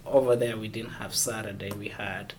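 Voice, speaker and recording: A man from Somalia, answering interview questions haltingly and searching for words. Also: on the low side, little pitch movement, a little hiss or hum. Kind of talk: oral history interview.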